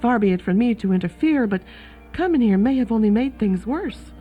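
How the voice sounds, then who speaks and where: A woman's voice: a little high, lively intonation, brisk, natural voice. An actress playing a kindly, chatty, fussing boarding house landlady in a period radio drama. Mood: gently concerned. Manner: fussing, hospitable, gossipy. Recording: thin phone-like band, faint music behind.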